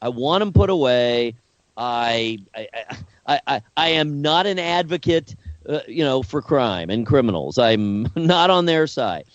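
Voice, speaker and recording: A man co-hosting a talk podcast, speaking earnestly and haltingly, often repeating words as he works out his point. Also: mid-range voice, animated, no echo, dull audio. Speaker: podcast co-host. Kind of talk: casual discussion.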